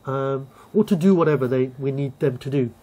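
Shop owner, 30s, mellow warm voice, even explanatory delivery, camera mic. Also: male voice, mid-range voice, fairly quick. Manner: calm, explanatory, with many fillers. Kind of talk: on-site interview. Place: shop and community hall, indoors.

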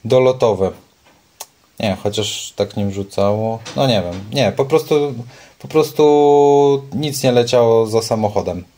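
A man's voice: medium pitch, darkish tone. A young man, a car mechanic vlogger, chatting frankly and casually while he works on an engine in an open garage. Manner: chatty, frank, self-deprecating. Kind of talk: casual how-to commentary. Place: open home garage, rain outside.

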